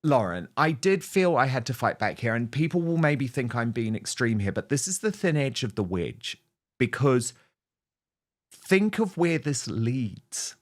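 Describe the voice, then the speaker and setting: A male talk show host with a mid-pitched voice. He delivers commentary and reads out messages in an emphatic, indignant way. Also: very animated, measured pace.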